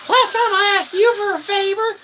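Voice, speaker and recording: A man's voice: very high voice, fairly even intonation, normal speed, background noise, pitched above the performer's own voice. A man voicing a scolding, foul-mouthed mother who bickers over her doughnuts in a comedy bit. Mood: scolding, indignant.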